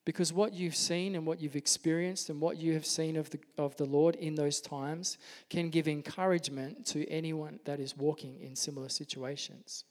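Soft-spoken male pastor, echoing hall. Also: fairly high pitch, fairly even intonation, normal speed, few pauses. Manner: earnest, gentle preaching. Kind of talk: sermon. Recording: long hall reverb.

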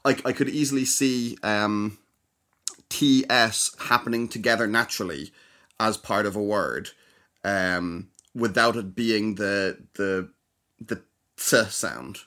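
A young man with a fairly low voice talks through his ideas in a relaxed podcast chat. He hesitates a lot, restarting sentences and filling gaps with ums. His delivery is careful and explanatory. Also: slow pace. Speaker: podcast co-host. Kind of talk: casual chat.

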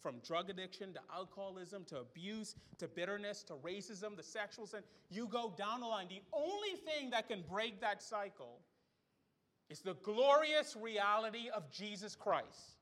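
High, bright-voiced preaching man. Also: lively intonation, unhurried. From the Bahamas. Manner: emphatic preaching with rhetorical questions. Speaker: pastor. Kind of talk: sermon. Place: church sanctuary.

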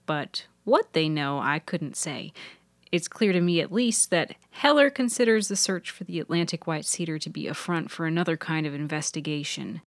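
A woman's voice: low-pitched, expressive, moderate pace, no room sound. A woman voicing a sweet, conspiratorial young woman intern in a fiction podcast. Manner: sweet, hushed, knowing. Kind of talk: audio fiction character dialogue.

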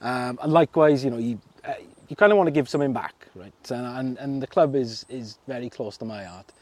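A man's voice: mid-range voice, a little fast, dry recording. A man from Merthyr Tydfil with a South Wales accent, chatting as a guest in a casual interview, laughing often and full of fillers and restarts. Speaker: fishing club secretary, guest.

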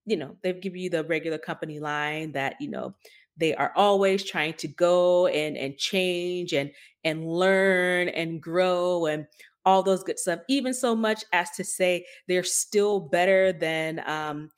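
A woman's voice: lowish voice, fairly even intonation, measured pace, clear, resonant tone. A woman co-hosting a podcast, talking through news in a casual, informal and wry way. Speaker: podcast co-host. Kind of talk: casual tech news discussion.

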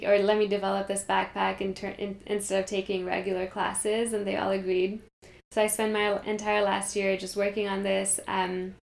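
A woman's voice: medium pitch, vocal fry, roomy sound. A young woman from Kenya, a company founder, telling her story in an earnest interview with frequent ums. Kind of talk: conversational interview. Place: meditation studio.

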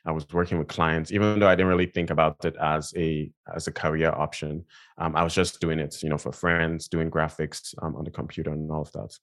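A man from Nigeria with a very deep voice and a fairly even delivery. He talks casually as an interview guest, pausing with fillers and restarting his thoughts. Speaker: guest designer.

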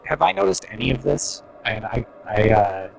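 Gravelly man thinking aloud. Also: fairly low pitch, very animated, moderate pace, poor audio. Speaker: player. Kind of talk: casual game chat.

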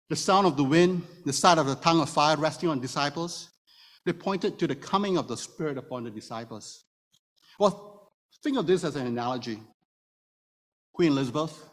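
Male preacher in a reverberant hall. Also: fairly high pitch. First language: Hokkien Chinese. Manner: explanatory, with rhetorical questions to listeners. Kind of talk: expository sermon to a congregation. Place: church room before a congregation. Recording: reverberant hall, long echo.

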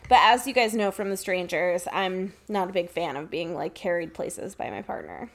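A woman's voice: fairly low pitch, moderate pace. Irreverent young woman chatting casually. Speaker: podcast co-host. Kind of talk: casual banter with swearing.

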